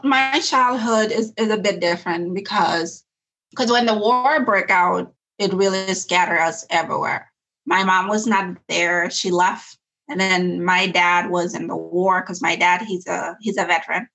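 A woman's voice: lowish voice, gravelly, bright, forceful tone, rough recording. A woman talks in an interview about the nonprofit she founded. She speaks earnestly and reflectively, often restarting a phrase before she goes on. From South Sudan. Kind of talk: interview conversation.

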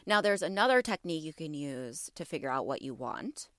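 A woman's voice: low-pitched, very animated, fairly quick, dry recording, clean studio sound. A woman in her thirties hosting a wellness podcast, talking casually and encouragingly to listeners, her voice a bit stuffy from allergies. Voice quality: slightly congested. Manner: warm encouraging, asks listeners questions. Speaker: podcast host.

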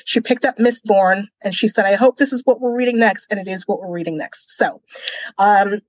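A woman's voice: medium pitch, fairly quick, no echo, poor audio. A woman co-hosting a casual book discussion, explaining things as a guide to the listener. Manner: explanatory, guiding. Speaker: podcast co-host.

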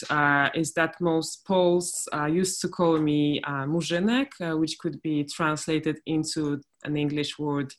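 A woman from Poland, an academic guest on a podcast, answering thoughtfully with frequent "uh" hesitations. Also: low-pitched. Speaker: guest, linguist and trainer. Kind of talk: conversational interview answer.

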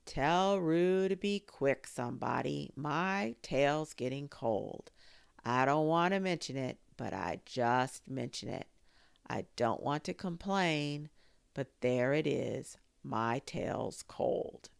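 A woman voicing a gloomy old grey donkey who talks in a slow, mournful grumble. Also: low voice, lively intonation, gravelly, clean tone, no room sound, heavily acted. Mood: gloomy complaining.

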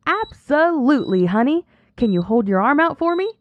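Woman as creepy chattering nurse, honeyed endearments, animated intonation, audio drama, dull lo-fi recording with faint noise. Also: high voice, normal speed. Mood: sugary, fussing. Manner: sugary endearments, breezy, chattering. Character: chatty, saccharine, unsettlingly careless female nurse.

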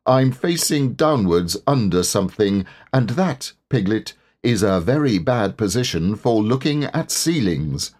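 A man voicing a humble, slow-witted, good-natured bear in a storybook, speaking in a hesitant, muddled way. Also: fairly low pitch, very animated, a little mellow. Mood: puzzled.

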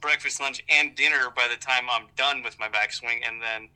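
An American man with a strikingly bright, forceful voice and a middle pitch. He talks casually and swears freely, rambling in a wry, self-deprecating way. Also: fairly even intonation, normal speed, clear, resonant tone, poor audio. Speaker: golf vlogger and amateur golfer. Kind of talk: casual phone chat, profane.

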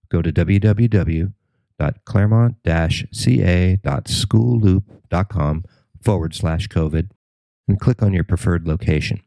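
A man's voice: sits low, dark tone, no echo, rough recording. An American man reading a scripted local news report as the narrator.

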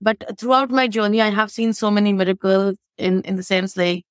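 A woman's voice: mid-range voice, level tone, fairly quick, no room sound, clean studio sound. A woman from India, a guest on a podcast, explaining with examples and tags like "right?". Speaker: interview guest, AI expert. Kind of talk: casual interview chat.

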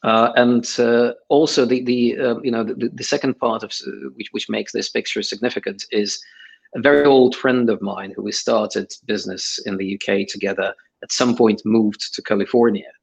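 A middle-aged man with a husky, breathy edge to a fairly low voice. He talks through his answers in a halting way, full of fillers and false starts. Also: fairly even intonation, low-quality recording. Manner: hesitant, many fillers and restarts. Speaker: guest. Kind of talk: conversational interview answers.

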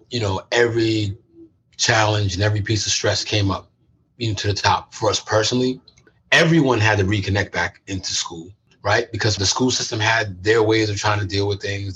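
A man's voice: lowish voice, fairly bright tone, slight background noise, low-quality recording. An American man talking conversationally on a panel as a youth education worker, punctuating his points with "right?".

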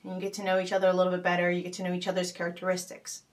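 A woman's voice: lowish voice, monotone, rapid-fire, recorded from a distance. Woman talking reflectively, a bit off-mic. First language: not Arabic. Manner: thoughtful, many fillers and restarts, gentle laughs. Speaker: podcast host. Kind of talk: casual reflective talk.

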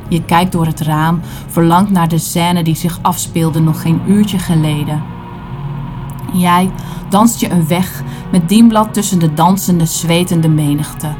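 A woman performing a literary audio play, narrating in short, deliberate dramatic phrases. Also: lowish voice. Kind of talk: literary spoken-word narration in second person.

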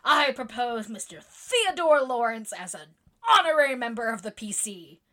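A woman's voice: fairly high pitch, expressive, moderate pace, sharp tone. A woman playing a boisterous tomboyish young woman who speaks in a hearty, theatrical, mock-formal way.

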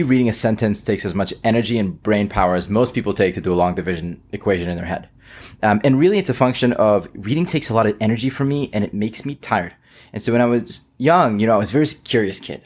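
Young man from Israel, Hebrew native, low-ish voice, earnest interview guest. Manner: earnest, personal storytelling with fillers. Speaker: guest, company founder. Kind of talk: casual interview.

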